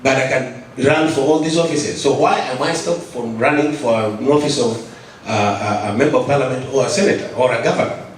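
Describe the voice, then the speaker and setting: A man's voice: medium pitch, a little fast, a little hiss or hum. A man from Kenya speaking from the floor at a conference, earnest, often restarting and repeating words as he presses his point. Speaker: audience member at a conference. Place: large conference hall. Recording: echoey hall, mic from a distance.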